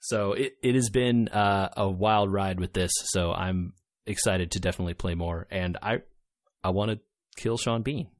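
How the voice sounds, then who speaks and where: A man co-hosting a podcast, chatting casually about video games and retelling things in an animated way. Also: low voice, moderate pace, no room sound. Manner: animated retelling, acting out quotes, laughing often. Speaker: podcast co-host.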